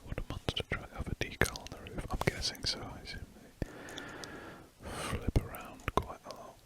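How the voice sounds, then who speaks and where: Softly whispering man, ASMR host. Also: moderate pace. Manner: soft unvoiced whisper, rambling and self-correcting. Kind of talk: whispered ASMR drawing chat.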